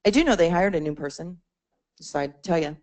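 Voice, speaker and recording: A woman's voice: fairly low pitch, animated, fairly quick, a little mellow. A woman presenting to a membership meeting, explaining a support portal conversationally, with fillers and restarts. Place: meeting room.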